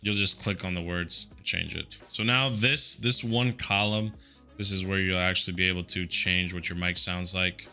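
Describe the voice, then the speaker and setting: A man with a deep voice walking through software settings in a relaxed, conversational way, with a headset mic. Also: moderate pace, soft background music. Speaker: tutorial host. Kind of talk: casual screen-recorded software tutorial.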